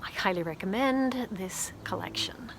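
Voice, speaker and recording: A woman's voice: medium pitch, measured pace, noisy background. A woman talking casually and thoughtfully about books she loved, sharing recommendations at home. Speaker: book reviewer. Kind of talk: casual book review vlog.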